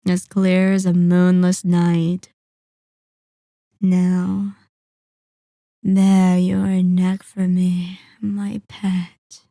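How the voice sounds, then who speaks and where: Seductive vampire mistress, played by a woman: very slow, deliberate, velvety dark murmur, possessive and cruelly doting, for intimate ASMR roleplay. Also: fairly low pitch, no room sound, studio quality. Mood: seductive, commanding. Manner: slow, deliberate, sighing, condescending pet-name endearments. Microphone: close, dry microphone.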